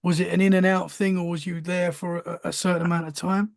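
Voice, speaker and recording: High, gravelly male podcast host. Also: level tone, fairly quick, dry recording, studio quality. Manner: short reactions like wow, man. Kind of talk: casual interview talk.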